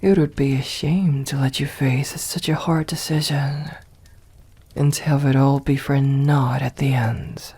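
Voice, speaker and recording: Vampire mistress played by a woman for ASMR roleplay: very breathy and whispery, unhurried, refined, seductive and possessive. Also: a bass voice, steady tone, mellow, heavily acted. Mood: coaxing, seductive. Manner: slow, formal, coaxing endearments.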